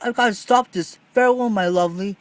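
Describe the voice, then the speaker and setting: A man voicing a lovesick, excitable boy genius in a melodramatic, earnest way for a storybook read-along. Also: sits high, quick pace, no room sound, pitched above the performer's own voice, natural voice. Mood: urgent. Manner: melodramatic, gushing, urgent.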